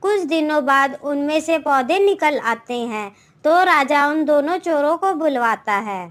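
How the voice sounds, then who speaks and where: ऊँची आवाज़ वाली महिला कथावाचक. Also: female voice, high-pitched, expressive, a little slow, fairly bright tone. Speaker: storyteller. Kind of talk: scripted moral-story narration. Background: background music.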